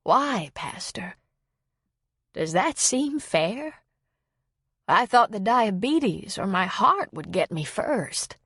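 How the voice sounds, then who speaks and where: A woman voicing a gentle, forgetful, frail old woman who speaks in a slow, halting, plaintive way for an audiobook story. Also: medium pitch, very animated, slightly rough, dry recording, studio quality, heavily acted. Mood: sad, plaintive.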